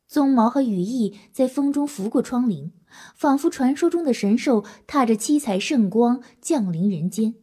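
A woman's voice: fairly high pitch, dark tone, husky. A woman narrator telling a story in scripted audio drama style.

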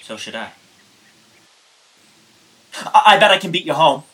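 Casting: woman doing a mischievous romantic lad, low for a female voice, very lively swings, period audio drama. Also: a little slow, heavily acted. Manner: wheedling, mischievous. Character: teasing, excitable, romantic teenage boy. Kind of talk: period audio play acting. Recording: noticeable background noise and clicks.

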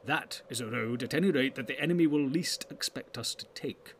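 A man's voice: medium pitch, a little fast, slight background noise, close to an everyday voice. A man playing a grave, commanding old wizard in a fantasy audiobook, speaking in weighty, archaic, oratorical phrases.

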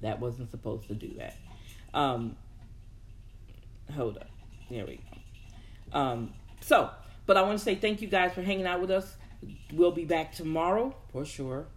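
A host giving a casual motivational talk in a chatty, encouraging way, wandering into asides. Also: mid-range voice.

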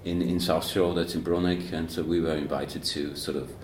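A man's voice: rumbling low, fairly even intonation, normal speed, reverberant, a little hiss or hum. A man in a band, talking casually with many fillers and restarts, joking now and then, in a university coffee room. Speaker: band member and guest. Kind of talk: casual interview chat.

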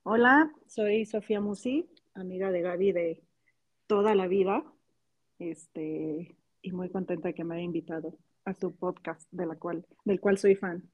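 A woman's voice: lowish voice, unhurried, dull audio. A woman in her forties, a podcast guest, chatting casually and telling a story, full of fillers. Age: 40s.

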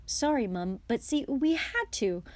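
A woman's voice: on the high side, lively intonation, unhurried, darkish tone, no echo, pitched above the performer's own voice. A woman voicing a cheeky teenage boy in a storybook reading, eager and emphatic. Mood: pleading, jaunty. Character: cheeky teenage boys, brothers pleading with their mother.

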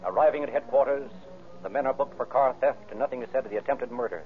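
A man's voice: mid-range voice, fast talker. A man narrating a scripted old-time radio crime drama in a formal, dramatic style. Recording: tinny old radio recording with music.

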